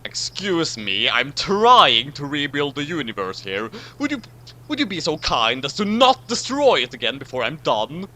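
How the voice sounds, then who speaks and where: A man's voice: fairly high pitch, big pitch swings, normal speed, pushed and bright. A man voicing an indignant, put-upon time-travelling alien doctor in a comic story reading, sarcastically polite. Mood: annoyed, sarcastic.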